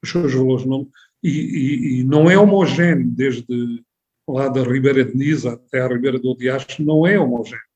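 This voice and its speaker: A man's voice: mid-range voice, normal speed, darkish tone, muffled recording. A man from the Alentejo in Portugal with an Alentejo accent, talking at length as a guest in a radio interview, with many restarts and rhetorical questions. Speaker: regional tourism board president, guest. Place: radio studio.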